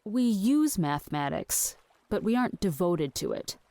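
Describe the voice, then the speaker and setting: An earnest woman researcher in an audio drama. Her voice sits a little low for a woman. She lays out fringe theories in a measured, pedantic, matter-of-fact manner. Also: big pitch swings, vocal fry, mellow, dry recording. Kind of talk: scripted audio drama acting.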